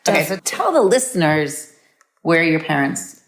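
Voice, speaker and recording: A woman's voice: sits low, big pitch swings, moderate pace, rough recording. A middle-aged American woman hosting a podcast, asking questions and offering reflective commentary. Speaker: podcast host. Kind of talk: interview and reflective narration.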